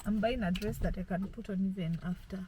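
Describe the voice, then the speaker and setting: केन्या की एक महिला, गाड़ी में अपने पति से खेल-खेल में हँसती-बोलती बातचीत करती है।. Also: female voice, mid-range voice, fairly quick, warm tone, slight background noise. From Kenya. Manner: playful, laughs often. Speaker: host's wife, co-host. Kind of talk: casual chat in a car.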